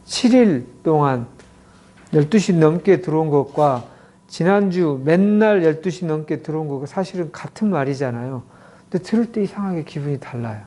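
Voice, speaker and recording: Man lecturing, mellow and a bit high. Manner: explains slowly with many acted example quotes. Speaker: clinic director teaching communication. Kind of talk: lecture to an audience.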